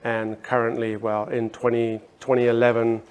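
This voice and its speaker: A man's voice: mid-range voice, level tone, normal speed, smooth. Hesitant South African man on a panel. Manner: hesitant, many ums and restarts. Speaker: panelist artist. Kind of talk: casual panel talk.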